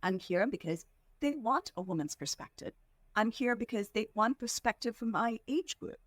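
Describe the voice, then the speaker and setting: A woman from India, a fundraising executive, giving earnest, measured answers as a podcast guest and slowing down to stress her points. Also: a little high, animated, no room sound, studio quality. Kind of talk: reflective interview answers.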